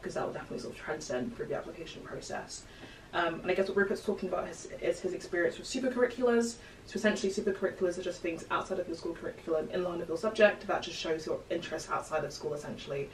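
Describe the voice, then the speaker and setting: Fast-talking young woman host, roomy room. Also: deep voice. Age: young adult. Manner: quick, encouraging, gives advice to viewers. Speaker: host interviewing students. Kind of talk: casual interview. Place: small room with bookshelves, indoors. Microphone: far camera. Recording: roomy sound from a distant camera, faint noise.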